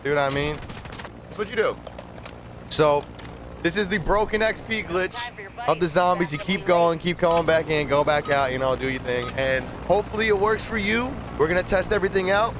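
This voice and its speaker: A man's voice: a little high, normal speed. A young man who streams games, giving casual gameplay commentary and tips in slangy, repetitive explanations. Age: young adult. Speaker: game streamer. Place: small streaming room at a desk. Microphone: close desk microphone. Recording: game gunfire and vehicle noise behind. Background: video game gunfire and ambience.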